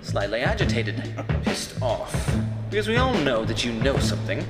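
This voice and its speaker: A male actor plays a needling, smug captive in a radio drama. His taunts are wordy and theatrical, swinging up and down in pitch. The voice rings with long reverb, and music plays behind it. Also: sing-song, normal speed, close to an everyday voice. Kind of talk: radio play acting.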